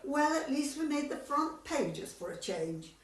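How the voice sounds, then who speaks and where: A woman's voice: high-pitched, sing-song, unhurried. Woman acting a gossipy committee lady, echoey. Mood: amused gossip. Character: agreeable, gossipy, competitive tennis club committee woman. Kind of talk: amateur radio comedy acting. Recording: echoey room, far from mic.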